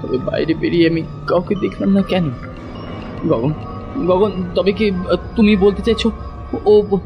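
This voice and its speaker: High-pitched, frightened young man. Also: fairly even intonation, creaky. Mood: frightened, insistent. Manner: stammering, halting, repeats words. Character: terrified, insistent young city man haunted by visions. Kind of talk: horror audio drama. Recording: loud music over the voice.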